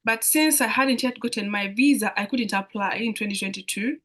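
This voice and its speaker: A woman's voice: a little high, normal speed, a bit bright. A young woman from Uganda with a Ugandan accent, explaining things as a student guest in a live online Q&A, often repeating phrases as she goes. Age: young adult. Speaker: international student guest speaker on a Q&A.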